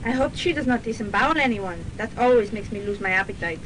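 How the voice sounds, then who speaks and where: A woman's voice: animated, fast talker, close to an everyday voice. A woman playing a naive, earnest young assistant with a Spanish accent in a radio comedy. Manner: literal, earnest, foreign phrasing. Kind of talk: student radio comedy drama acting. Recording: hissy, rumbling student radio recording.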